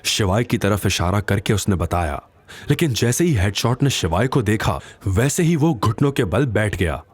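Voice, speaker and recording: A man's voice: fairly low pitch, animated, normal speed, husky. A man narrating a scripted audio story in a dramatic storytelling style. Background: faint background music.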